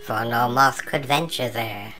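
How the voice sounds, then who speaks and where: A man voicing a calm, learned male moth who speaks with precise, knowing composure. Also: mid-range voice, a little slow, soft background music, pitched above the performer's own voice, low-quality recording. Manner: calm, knowing, slightly superior.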